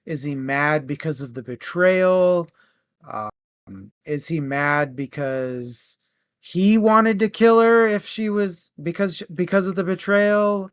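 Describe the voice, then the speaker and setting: Male book club co-host, slightly high voice, close dry sound, conversational and animated in retelling. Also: slow, smooth, dry recording. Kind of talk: casual book discussion.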